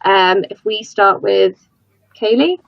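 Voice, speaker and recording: A woman's voice: medium pitch, takes it slowly, gravelly, dry recording. Woman, panel host, chatty, self-deprecating, dry dull lo-fi video call sound. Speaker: livestream host. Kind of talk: casual panel hosting. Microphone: computer mic on a video call.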